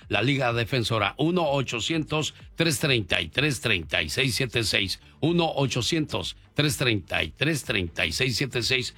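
A man's voice: medium pitch, a bit bright. A man hosting a radio interview in a colloquial, folksy way. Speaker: radio host. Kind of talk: lively conversational radio interviewing.

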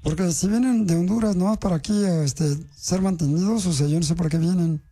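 A man's voice: high voice, a little fast, warm tone. A male radio host, posing as a government official in a prank phone call, teasing and mock-stern.

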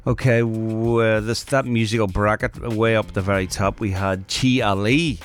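A man's voice: lowish voice, normal speed, faint music behind. Laid-back man hosting radio. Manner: relaxed, chatty, laughs easily, says "man" a lot. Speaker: radio host. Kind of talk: casual radio chat.

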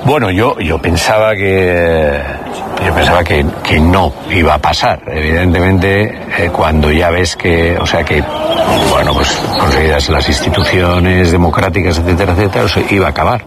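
An older man, giving an interview in an unhurried, hesitant way and thinking aloud with many fillers. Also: sits low. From Basque Country. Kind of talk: reflective conversational storytelling. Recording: loud traffic noise outdoors.

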